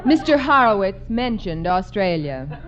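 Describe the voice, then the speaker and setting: A woman's voice: medium pitch, very animated, unhurried, some chatter behind. An actress playing a patient, prim woman teaching a night-school class in a 1950s radio comedy, with an instructing manner. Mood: patient, coaxing. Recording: noisy dull old radio, studio audience.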